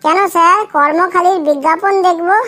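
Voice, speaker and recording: A woman's voice: very high voice, steady tone, brisk, bright, forceful tone, faint music behind. A woman voicing a cheeky, silly young schoolboy in a comedy cartoon. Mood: eager, smug. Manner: cheeky, earnest silly answers. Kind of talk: comedy cartoon dialogue in Bengali.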